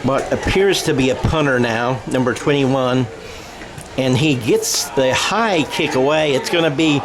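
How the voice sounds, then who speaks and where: A man's voice: medium pitch, unhurried, crowd noise. A man doing radio-style football play-by-play outdoors, with rambling, self-correcting delivery and folksy asides. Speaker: play-by-play announcer. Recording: clear outdoor stadium background noise.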